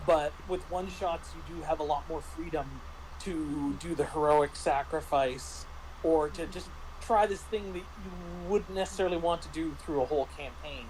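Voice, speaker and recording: A man in a casual tabletop roleplaying discussion, speaking in a halting, explanatory way as a game master and panelist. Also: on the high side, a little mellow, a little hiss or hum, low-quality recording.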